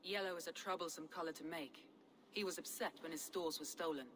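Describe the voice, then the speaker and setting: An actress playing a proud, composed Viking clan leader in a video game, speaking in an assured, formal way. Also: female voice, deep voice, brisk, fairly bright tone, a little hiss or hum. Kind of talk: video game cutscene dialogue.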